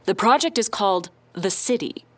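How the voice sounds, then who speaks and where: A woman playing a powerful middle-aged scientist and founder in an audio drama, giving a measured, firm, guarded interview. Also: medium pitch, lively intonation, a bit bright. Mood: composed, assertive.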